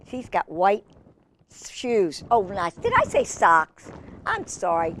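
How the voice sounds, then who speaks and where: Female children's storytime reader, mid-pitched, lively intonation, gushing and chatty, fond asides. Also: takes it slowly, noisy background. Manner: gushing, expressive, exclaiming over pictures.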